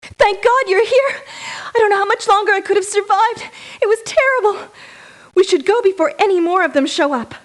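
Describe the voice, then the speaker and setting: Very high-pitched, frightened rescued captive. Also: female voice, expressive, brisk. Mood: frightened, relieved. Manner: fearful, emotional, pleading. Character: frightened rescued captive daughter, desperate and fragile. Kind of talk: scripted game voice acting.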